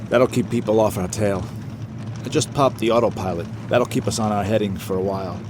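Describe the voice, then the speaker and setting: Man acting a tired boat captain, lowish voice, casual, unflappable, audio drama, noisy vessel ambience. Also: steady tone, a little fast, natural voice. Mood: weary, relieved. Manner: casual, matter-of-fact. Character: weary, pragmatic, unflappable male sea captain. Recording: loud boat and storm ambience.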